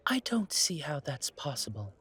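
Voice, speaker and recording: A man voicing a pompous, disdainful vampire gentleman with fussy, formal speech in an audio roleplay. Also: fairly high pitch, very animated, normal speed, slightly rough, exaggerated voice. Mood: incredulous. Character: pompous haughty male vampire gentleman, disdainful yet protective.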